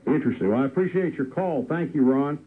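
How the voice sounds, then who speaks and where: A man's voice: medium pitch, animated, fast talker, slight background noise. A man in his sixties hosting a call-in radio show in a friendly, conversational way. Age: 60s. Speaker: radio host. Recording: lo-fi radio broadcast with faint music.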